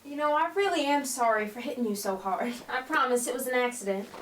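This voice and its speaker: A woman's voice: high-pitched, fast talker, slight background noise, lightly acted. A woman acting a spirited, devoted teenage daughter in a 1940s holiday radio play, earnest and emotional. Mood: sheepish, apologetic. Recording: roomy, distant, music underneath.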